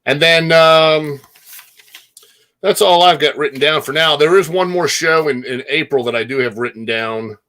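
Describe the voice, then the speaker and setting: A man with an American accent and a fairly high, bright, forward voice. He hosts in a casual, conversational style, working through his notes and lists. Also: normal speed. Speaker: host presenting show listings and top-five lists. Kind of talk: casual chat.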